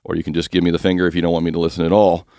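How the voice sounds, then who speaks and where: A man hosting a finance talk radio show, speaking with a slightly rough, husky voice and explaining mortgage rules in a chatty, joking way. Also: deep voice, completely flat delivery, very fast, a little mellow, no room sound, clean studio sound.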